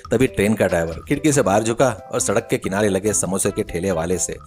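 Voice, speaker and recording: A man's voice: on the low side, fast, darkish tone. A man narrates a children's story from a script. He works as a storyteller, and background music runs under his voice.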